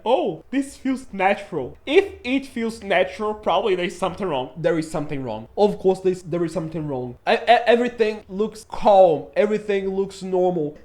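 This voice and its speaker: High-voiced young man telling jokey stories. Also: darkish tone. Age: 20s. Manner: jokey, self-mocking, acts out his own panic. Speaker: vlogger. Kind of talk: casual storytelling to camera.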